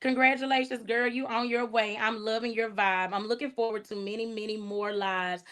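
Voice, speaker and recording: A woman hosting her first livestream, chatting casually and warmly, thanking viewers by name and laughing often. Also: fairly high pitch, flat delivery, moderate pace. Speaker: livestream host. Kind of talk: casual livestream chat reading comments.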